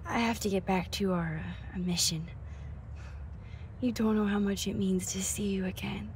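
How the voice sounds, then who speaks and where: A woman acting a caring, nerdy young student photographer in a video game, hushed and supportive, quietly determined. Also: medium pitch, steady tone, moderate pace, a little hiss or hum, close to an everyday voice. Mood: fond. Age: young adult.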